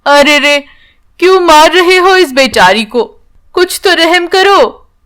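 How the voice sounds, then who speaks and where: A kind-hearted, weary old grandmother acted out by a woman from a bedtime story. Her voice sits high and moves slowly, with an emotional, pleading delivery. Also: a bit bright, full character voice. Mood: indignant pleading.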